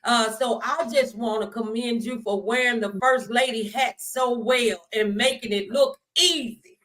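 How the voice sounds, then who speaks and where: Higher-pitched woman, conversational talk show host. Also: fairly even intonation, unhurried, a bit bright. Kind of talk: conversational faith talk interview.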